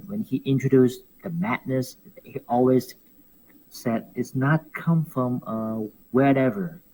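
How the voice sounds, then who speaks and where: Man, interview guest, low-ish voice, animated and prone to laughing, stumbles and repeats words. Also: poor audio. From Hong Kong. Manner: animated, laughs often, repeats words while searching for phrasing. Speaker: professional wrestler guest. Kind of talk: casual interview chat.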